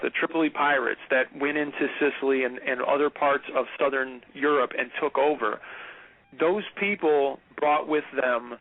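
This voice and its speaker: A male caller with a fairly high voice argues his point on a talk radio show, stammering and pushing ahead. His voice comes through a tinny, dry phone line. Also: dry recording. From United States. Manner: animated, stammering, argumentative. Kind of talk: call-in radio discussion.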